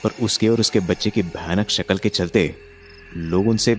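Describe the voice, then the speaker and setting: A man telling a horror story in the first person, suspensefully, with drawn-out dramatic pauses. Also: low voice. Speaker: narrator of a horror story, voicing its main character. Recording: music behind the voice. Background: music.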